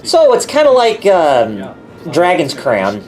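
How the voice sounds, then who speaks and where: A man's voice: a little high, slow pace, faint music behind. A man hosting a live reaction stream, chatting casually and reading viewer comments aloud. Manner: relaxed, reads viewer chat aloud and reacts. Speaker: livestream host.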